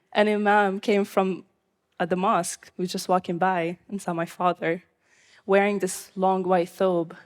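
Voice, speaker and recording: A young woman from Syria telling her own life story live, in an earnest, measured way. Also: on the low side.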